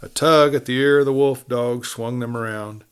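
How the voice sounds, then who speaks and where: A male narrator with an American accent and a mid-pitched voice reads a western tale. His delivery is dramatic storytelling, in the manner of an audiobook. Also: steady tone, moderate pace, clear, resonant tone.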